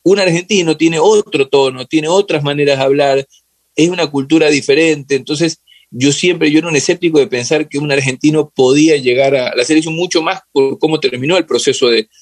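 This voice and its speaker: Ecuadorian man, halting and thoughtful. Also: a little high, dry recording. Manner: halting and thoughtful, searching for words, repeating phrases. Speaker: interview guest. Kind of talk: casual, reflective football discussion.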